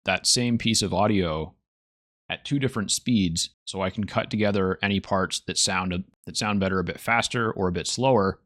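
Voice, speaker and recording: Man, deep voice, dry close sound, casual tutorial host, thinking aloud. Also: a bit bright, no echo. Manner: thinking aloud while demonstrating. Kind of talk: casual software tutorial narration.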